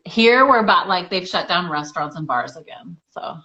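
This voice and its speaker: A woman's voice: fairly low pitch, expressive, moderate pace, bright, forceful tone, dull audio. A woman hosting a casual online interview, chatty and friendly, with frequent ums and uhs. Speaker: interview host.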